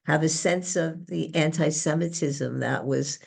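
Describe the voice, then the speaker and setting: A woman's voice: deep voice, a little slow, creaky, breathy edge. A woman hosting an interview, asking probing questions and affirming what her guest says. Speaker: interviewer, host.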